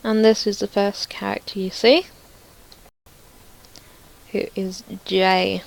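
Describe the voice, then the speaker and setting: Slow-paced woman casually demoing her project. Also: medium pitch, level tone, vocal fry, rough recording. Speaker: visual novel creator showing own project. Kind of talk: casual screen-recorded demo commentary.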